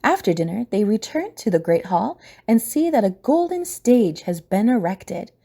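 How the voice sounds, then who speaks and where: A woman's voice: mid-range voice, big pitch swings, normal speed, vocal fry, warm tone, husky. A woman reading scripted, dramatic story narration for an audio drama.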